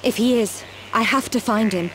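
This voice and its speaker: Woman acting determined, fairly high-voiced medic. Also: normal speed, a little hiss or hum, natural voice. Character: determined female medic, vengeful and fiercely loyal. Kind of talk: video game cutscene acting.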